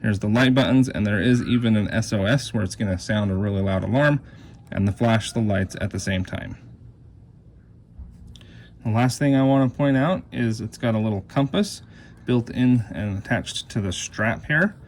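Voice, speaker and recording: A man's voice: on the low side, normal speed, darkish tone. A man giving a casual, plain and explanatory hands-on product demonstration. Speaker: product reviewer. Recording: clear background noise.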